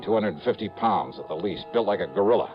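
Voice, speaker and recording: Low-voiced hard-boiled investigator. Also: male voice, animated, brisk. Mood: tense, wry. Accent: American. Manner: clipped, dramatic, wry. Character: hard-boiled, wry male insurance investigator narrating his case. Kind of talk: 1950s noir radio drama narration. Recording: muffled old radio recording, music underneath.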